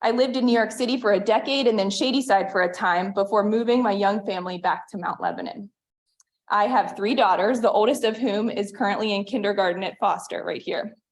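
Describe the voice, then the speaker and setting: A woman in her thirties reads a prepared statement at a town meeting. She speaks earnestly and in a measured way, at an ordinary mid-range pitch for a woman. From western Pennsylvania. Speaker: resident giving public comment. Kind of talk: prepared public comment read aloud.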